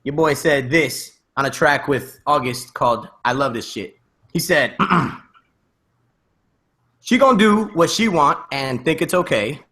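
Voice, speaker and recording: A man's voice: on the high side, expressive, slow, bright, forceful tone. A man bantering casually on a podcast, reciting things in a punchy, theatrical way. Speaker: podcast host.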